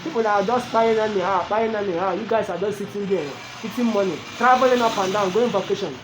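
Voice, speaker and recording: A man's voice: high-pitched, fairly even intonation, fast talker, background noise. A man from southeastern Nigeria with a Nigerian accent, delivering a pleading political monologue full of repeated rhetorical questions. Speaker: commentator.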